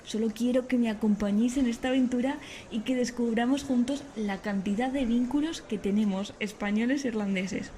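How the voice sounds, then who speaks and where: An actress playing an eager, chatty young history student from Spain, recording an informal travel vlog in a podcast drama. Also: female voice, a little high, a little fast, dark tone, natural voice, rough recording. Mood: excited. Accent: Spanish from Spain. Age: young adult. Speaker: podcast character, student vlogger. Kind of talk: acted first-person vlog monologue. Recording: clear background noise, ambient sound.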